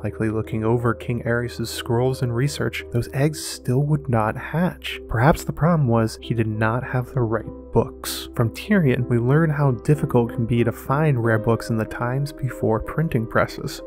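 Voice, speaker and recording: A man's voice: medium pitch, moderate pace, few pauses. Man narrating scripted analysis over music. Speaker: host of a fantasy lore analysis show.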